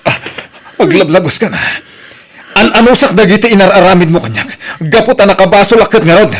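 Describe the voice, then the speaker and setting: A man's voice: high voice, a little fast, slight background noise. A man acting the part of an emotional, pleading husband and father in an Ilocano radio drama, with an Ilocano accent. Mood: hurt, angry. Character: weary, wronged Ilocano husband and worried father.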